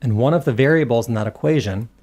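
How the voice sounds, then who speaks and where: A man reading scripted video essay narration in a measured, articulate way, with long, carefully built sentences. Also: medium pitch, a little mellow.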